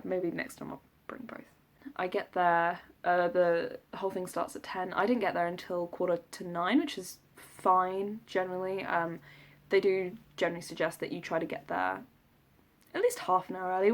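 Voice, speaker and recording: A woman in her twenties with a southern English accent, chatting casually to camera in a rambling, self-deprecating, jokey way. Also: lowish voice. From south of England. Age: 20s. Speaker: vlogger recounting an audition. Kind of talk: casual rambling vlog chat.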